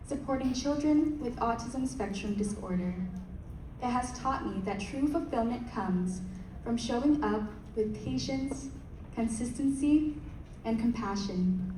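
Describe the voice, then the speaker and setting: A young woman, a pageant contestant, giving a rehearsed speech in a measured way. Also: on the high side, mellow, a little hiss or hum. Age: young adult. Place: large hall. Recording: echoey hall from far off.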